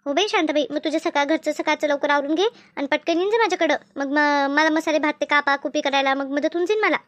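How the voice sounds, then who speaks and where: Harried cartoon village hostess woman actor: piercingly high, rapid, repetitive, fussy. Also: a bit bright. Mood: planning, fretful. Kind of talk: animated cartoon comedy dialogue.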